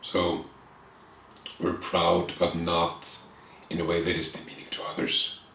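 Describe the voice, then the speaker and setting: A man in his thirties with an Icelandic accent, speaking as an interview guest in an earnest, reflective way, in short phrases with pauses. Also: deep voice, monotone, gravelly, a bit bright. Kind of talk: candid conversation. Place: room with bookshelves and a window, at a table. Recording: echoey room with faint hiss.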